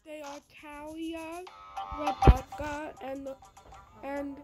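A young girl casually narrating a tour of her game world, naming each character one by one with halting restarts, recorded as a voice-over. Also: high-pitched, little pitch movement. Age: under 10. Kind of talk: casual show-and-tell narration. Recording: music playing behind.

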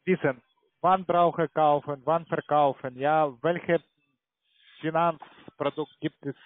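A man with a foreign accent speaking non-native German as a call centre salesman, pitching haltingly and tagging sentences with "ja". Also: steady tone, dry recording. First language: not German. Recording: tinny narrow phone line.

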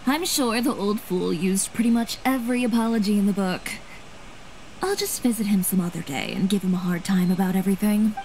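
An actress playing a confident, blunt young fashion designer in a video game, composed and matter-of-fact. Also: female voice, medium pitch, brisk, darkish tone, a little hiss or hum, close to an everyday voice, poor audio. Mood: curt, dry.

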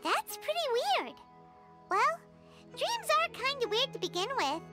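Squeaky, lively little fairy girl. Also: unhurried, full character voice. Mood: surprised. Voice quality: squeaky, childlike. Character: tiny chatty childlike female fairy companion. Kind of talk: video game voice acting. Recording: music behind the voice.

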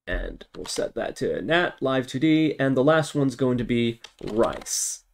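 A man's voice: medium pitch, very animated, unhurried, gravelly. A man giving an informal tutorial, thinking aloud step by step as he works on screen. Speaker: tutorial instructor.

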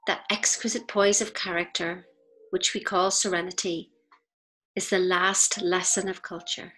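A woman reads scripted inspirational narration in a measured, deliberate way, with pauses between phrases. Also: on the low side, fairly bright tone, poor audio. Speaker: audiobook reader. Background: faint music.

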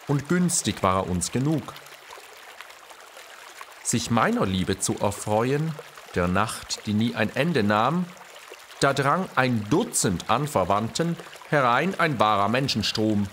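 A man playing a courtly classical poet, reciting letters and verse in a measured, expressive way for an audio drama. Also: fairly low pitch, a little hiss or hum. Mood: dramatic. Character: famous male poet reading his letters and verse, courtly.

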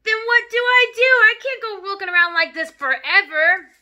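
A woman voicing a frantic, vain, hot-tempered father who yells and wails as part of a story reading. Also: very high-pitched, lively intonation, moderate pace, voice pushed up, full character voice. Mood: anxious. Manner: yelling, wailing, panicked exclamations.

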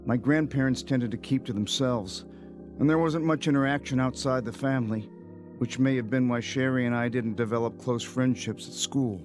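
An actor voices a reflective man narrating a radio drama in the first person. His mid-pitched voice is wry and measured, with faint music behind it. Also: steady tone, close to an everyday voice. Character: reflective man recounting his lonely childhood.

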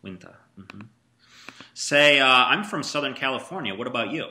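Guy tutoring arabic one on one, really bright ringing voice, patient, keeps prompting. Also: medium pitch, lively intonation, normal speed. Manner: patient, repeats praise, prompts the student. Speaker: Arabic teacher. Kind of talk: one-on-one language lesson.

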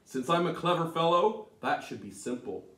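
A man's voice: high voice, very animated, a little slow, a bit bright, pitched above the performer's own voice, exaggerated voice. A man voicing Tom, a clever, eager young man who boasts and gives orders. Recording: echoey room, mic at a distance.

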